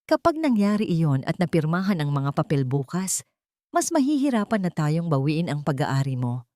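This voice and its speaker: A man's voice: fairly high pitch, very animated, normal speed, a little mellow, no echo, studio quality. A woman voicing a serious, urgent male lawyer and protector in an audio drama story. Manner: grave, urgent.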